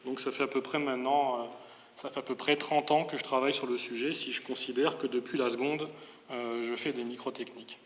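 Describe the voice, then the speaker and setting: A man's voice: medium pitch, fairly even intonation, brisk, a bit bright. A man in his forties from the Paris region with a metropolitan French accent gives a public lecture, explaining and rephrasing with some hesitation. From Paris region, France. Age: 40s. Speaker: engineering professor giving a public lecture. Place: large reverberant lecture venue. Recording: echoey hall with long reverb.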